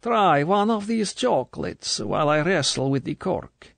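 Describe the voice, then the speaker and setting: A man's voice: fairly high pitch, big pitch swings, a little slow, no echo, pitched above the performer's own voice, heavily acted. A man voicing a sugary, menacing middle-aged female Soviet colonel, in an audiobook. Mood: cloying. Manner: falsely sweet, smooth, then cold and commanding.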